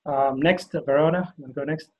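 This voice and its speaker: A man's voice: fairly high pitch, fairly even intonation, fast talker, highs cut off. A man from Somalia chairing a meeting on a video call, hesitant and full of fillers.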